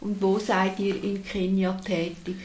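A woman host giving a formal scripted introduction and then asking a guest questions in a measured way. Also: medium pitch, level tone, a little mellow, low-quality recording. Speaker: interviewer, host.

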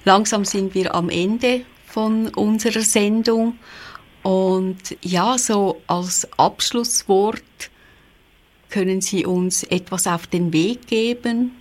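A woman's voice: mid-range voice, slow pace. A woman, a radio host who is polite and formal as she interviews a guest.

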